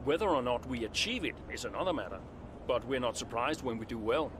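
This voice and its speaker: A man's voice: medium pitch, animated, fast talker, noisy background, close to an everyday voice. An actor playing a weary, insecure and defensive veteran male racing driver in a naturalistic video game cutscene. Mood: composed, guarded.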